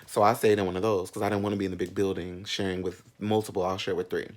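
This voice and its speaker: A man's voice: sits low, little pitch movement, fast talker. A man in his thirties telling a personal story in a casual, sassy, slangy and candid way, with frequent asides. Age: 30s. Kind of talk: casual, animated storytime chat.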